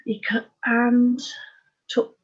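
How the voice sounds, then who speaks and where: Older English woman, sixties, husky voice on slightly dull audio, giving a frank, unpolished personal account as a guest. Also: on the high side, little pitch movement, drawn-out words. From Oxfordshire, England. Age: 60s. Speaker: interview guest. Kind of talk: candid personal life story in conversation.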